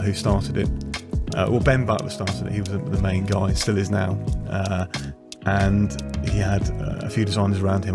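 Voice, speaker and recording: A man's voice: low-pitched, gravelly. A man, a guest engineer, talking casually in a rambling, self-correcting way with frequent restarts and easy laughs. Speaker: guest, former F1 designer. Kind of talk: casual interview chat.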